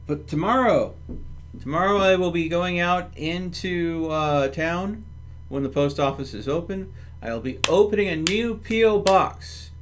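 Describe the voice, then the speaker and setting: A man's voice: high-pitched, a little slow, slight background noise. A man hosting a livestream, casually talking through his game step by step and chatting with viewers. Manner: thinks aloud step by step.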